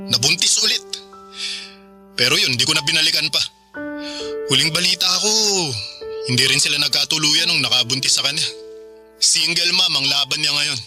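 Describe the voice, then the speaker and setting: Young man courting, very bright voice, slightly high, casual, joking, radio drama.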